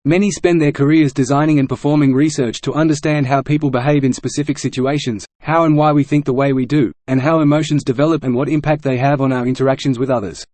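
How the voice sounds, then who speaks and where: A man's voice: mid-range voice, steady tone, fast, hardly any pauses, darkish tone, no echo. A man reading an academic passage aloud from a script as a model for language practice. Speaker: read-aloud model reader.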